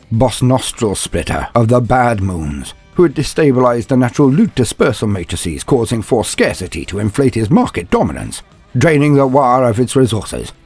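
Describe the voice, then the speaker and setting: A man narrating a mock-epic fantasy tale in a grandiose, theatrical manner with wry asides, his voice gravelly and husky. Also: medium pitch, darkish tone. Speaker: narrator, storyteller. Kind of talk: dramatic scripted fan fiction narration, mock-epic.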